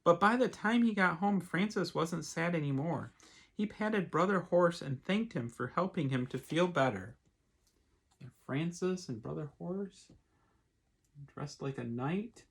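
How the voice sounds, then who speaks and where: A man, a pastor, reading and talking to children in an expressive storytime style. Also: on the high side, a little slow, creaky, breathy edge. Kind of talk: expressive storybook read-aloud with casual asides.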